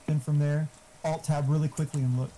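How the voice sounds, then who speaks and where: A man's voice: on the high side, flat delivery, brisk, dark tone, a little hiss or hum. A man chatting casually and giving tech advice in a blunt, explanatory, sweary way. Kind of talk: casual chat. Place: online voice chat.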